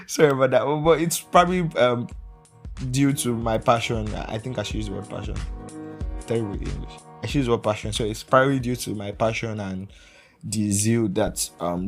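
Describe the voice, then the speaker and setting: A young man in his early twenties hosting a casual solo podcast, rambling, correcting himself and laughing often. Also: medium pitch. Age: young adult. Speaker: podcast host.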